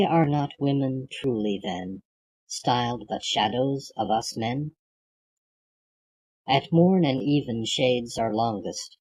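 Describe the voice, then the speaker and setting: A husky, creaky voice of unclear gender reads old verse aloud for an audiobook. The pace is very slow and measured, with a declamatory delivery that gives each phrase its own space. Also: mid-range voice, darkish tone, poor audio. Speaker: audiobook reader. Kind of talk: reading classic poetry aloud.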